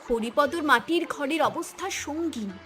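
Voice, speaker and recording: A woman's voice: high voice, animated, moderate pace, fairly bright tone, faint music behind. A woman narrator reading a scripted cartoon story in a dramatic storytelling style.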